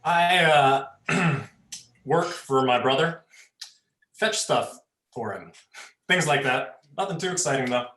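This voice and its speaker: An actor playing a laid-back young drinker, lazy and hesitant, in a dramatic script reading with echoey sound. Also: male voice, medium pitch, lively intonation, slow, long pauses, bright, forceful tone. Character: laid-back beer-drinking young man chatting up girls. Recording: roomy, echoing livestream sound.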